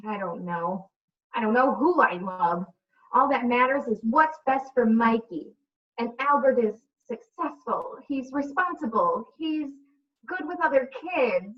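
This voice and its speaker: A woman's voice: on the high side, expressive, unhurried. A woman playing an exasperated, defensive single mother in a comedic radio play, recorded on a distant, muffled mic. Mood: torn, defensive.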